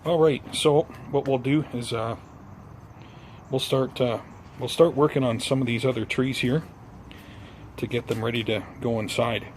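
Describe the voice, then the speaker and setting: Male gardening vlogger, mid-pitched, casual and unhurried, slightly hesitant, recorded outdoors with faint ambient noise. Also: fairly even intonation, a little mellow. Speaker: host of a bonsai gardening vlog. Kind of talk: casual vlog chat to viewers.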